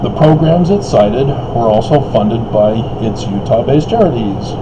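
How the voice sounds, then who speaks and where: Male commentator, slow delivery, sardonic, reading aloud with mocking asides, noticeable background hum. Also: mid-range voice, animated, dull audio. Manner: derisive, sardonic, breaks into his reading with asides. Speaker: commentator, video host.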